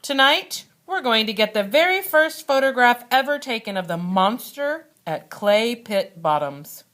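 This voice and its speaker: A woman's voice: on the high side, lively intonation, unhurried, a bit bright, pitched above the performer's own voice. A woman voicing a playful, teasing, adventurous dad with an eager, theatrical manner in a children's storybook. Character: playful, teasing adventurous dad spinning spooky monster tales. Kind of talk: children's storybook read-aloud.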